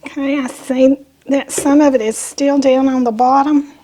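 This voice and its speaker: A woman with a Southern US accent hosts a casual cooking demonstration. Her voice is high, with a slight rasp from bronchitis. She is chatty and homey, talking through each step as she goes. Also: fairly even intonation, normal speed, background noise. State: mild bronchitis, slightly raspy voice. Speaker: host of a home cooking channel.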